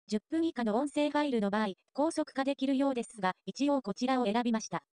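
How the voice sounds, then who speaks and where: A woman reading scripted narration for a software tutorial. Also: sits high, quick pace, no echo, studio quality.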